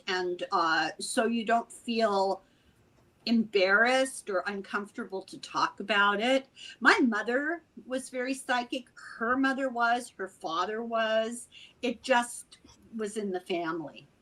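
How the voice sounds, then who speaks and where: An older woman, born in Ireland and raised in America, talks as a guest on a video call. Her voice is bright and a little high, and she tells family stories in an animated, chatty way. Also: measured pace, poor audio. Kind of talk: casual chat.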